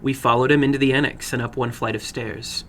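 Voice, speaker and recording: A man's voice: mid-range voice, fairly even intonation, brisk, a bit bright. A man giving a dramatic scripted narration of horror fiction, as the host of a story broadcast.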